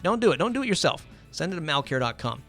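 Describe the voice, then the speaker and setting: A man's voice: fairly high pitch, big pitch swings, quick pace, fairly bright tone, faint music behind. A man hosting a podcast in a casual, ad-libbed way, chatty and informal, repeating phrases for emphasis. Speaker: podcast host. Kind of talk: casual ad-libbed sponsor reads and interview.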